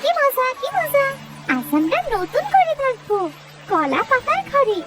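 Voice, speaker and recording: A woman voicing an excitable, anxious little village girl in a children's cartoon, childlike and plaintive. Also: very high-pitched, a little slow, pitched above the performer's own voice, full character voice. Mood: gleeful. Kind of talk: children's cartoon story dub. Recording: loud music under the voice.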